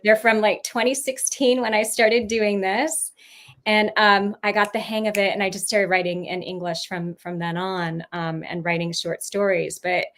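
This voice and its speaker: A woman's voice: medium pitch, flat delivery, normal speed, fairly bright tone. Woman author, former teacher; rambling casual talk with frequent ums and laughs, heard over a video call. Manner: rambling, lots of ums, laughs mid-sentence. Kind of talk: casual livestream chat.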